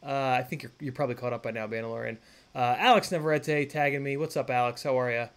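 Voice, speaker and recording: A man's voice: mid-range voice, steady tone, quick pace, a bit bright, clean tone. A man in his thirties streaming live from a home workshop, chatting casually with viewers, rambling and swearing with lots of fillers. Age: 30s. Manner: rambling, profane, full of fillers and restarts. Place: home workshop room, indoors.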